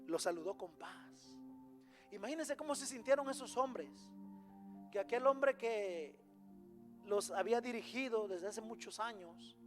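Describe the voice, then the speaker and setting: A man with a Latin American Spanish accent preaching a sermon emphatically, often putting rhetorical questions to his congregation. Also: high voice.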